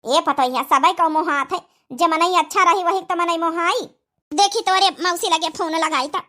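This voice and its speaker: A woman's voice: extremely high pitch, normal speed, sharp tone. A woman with an Awadhi accent playing a practical, concerned village mother-in-law in a cartoon, homely and matter-of-fact. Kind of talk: cartoon comedy dialogue.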